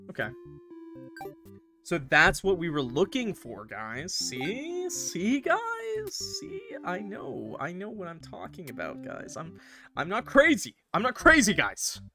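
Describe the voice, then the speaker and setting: Animated, bright-voiced man livestreaming a game. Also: a little high. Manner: excitable, playfully combative, swearing banter with viewers. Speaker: live streamer playing a game. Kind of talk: animated casual livestream chat and game commentary.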